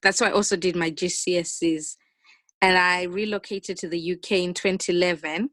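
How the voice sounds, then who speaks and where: A young woman from Zimbabwe speaks with a Zimbabwean accent. Her voice is on the low side, and the sound is dry with little room in it. She answers conversationally as an interview guest. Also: steady tone, a little fast, fairly bright tone, no room sound. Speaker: interview guest, finance analyst. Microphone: video call.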